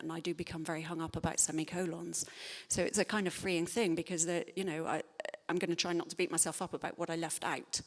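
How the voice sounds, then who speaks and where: A woman's voice: low voice, in a large hall. A woman writer answering audience questions in a thoughtful, hesitant way, with many fillers and restarts. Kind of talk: spontaneous public Q&A talk.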